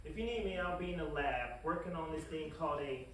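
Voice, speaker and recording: A man playing a gleefully wicked, power-hungry scientist in a comedic animated show table read. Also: a little high, brisk, close to an everyday voice. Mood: scheming, matter-of-fact. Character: gleefully wicked male scientist craving power, secretly scheming. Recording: very echoey room, distant mic, hissy noise.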